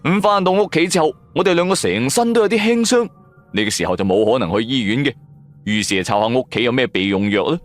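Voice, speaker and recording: Animated Cantonese male storyteller. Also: mid-range voice, quick pace, faint music behind. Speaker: audio drama narrator. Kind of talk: dramatic first-person scripted storytelling.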